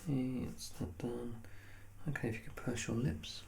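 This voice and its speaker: A soft-spoken man in a calm, reassuring ASMR medical exam roleplay, playing the examiner. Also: medium pitch, normal speed, gravelly, slight background noise.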